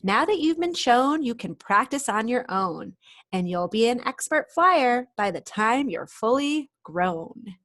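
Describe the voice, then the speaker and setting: A woman voicing a brisk, commanding female dragon teacher who exclaims and lectures in rhyme for a storybook. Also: a little high, very animated, fairly bright tone. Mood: brisk, emphatic.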